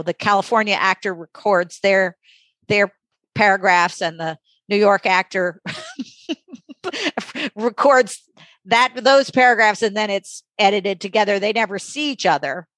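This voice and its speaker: A mature woman speaking as an interview guest, thoughtful and conversational, halting and reflective as she pauses mid-sentence. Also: medium pitch, a bit bright, no echo. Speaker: interview guest, audiobook magazine editor.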